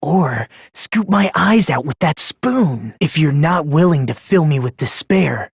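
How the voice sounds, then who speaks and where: An actor voicing a frail-sounding, self-deprecating teenage boy obsessed with hope in a video game, soft and breathy, polite yet theatrical and unhinged. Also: medium pitch, expressive, measured pace, no room sound, studio quality, full character voice. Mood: menacing, calm. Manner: polite, theatrical, swinging from gentle to fervent. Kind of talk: English video game voice acting.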